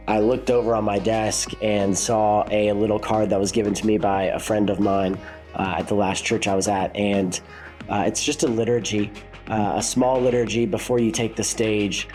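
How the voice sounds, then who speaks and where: Young worship leader, sick and stuffed up, low voice, loose reflective vlog delivery. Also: male voice, a little mellow. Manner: rambling, many fillers and restarts. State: congested, sick.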